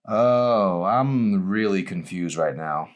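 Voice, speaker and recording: A man's voice: sits low, expressive, slow pace. A man voicing an awkward, nervous teenage boy in an audiobook, polite and hesitant. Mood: flustered.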